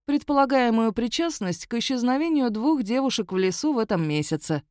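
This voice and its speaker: A woman voicing a dry, unimpressed female magistrate, deadpan and stern with an ironic edge. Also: on the high side, a little fast, no room sound, voice pushed up, lightly acted. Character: dry, unimpressed young woman magistrate of a werewolf-control unit. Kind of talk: audiobook character voice.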